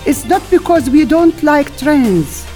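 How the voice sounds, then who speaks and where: Slow, higher-voiced woman narrating. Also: animated, warm tone, soft background music. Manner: measured, deliberate reading. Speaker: narrator for community group. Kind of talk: scripted narration.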